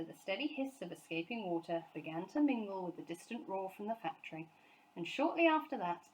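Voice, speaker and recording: A woman's voice: lowish voice, darkish tone, poor audio. A woman reading a children's storybook aloud as scripted narration. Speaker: storybook reader, teacher.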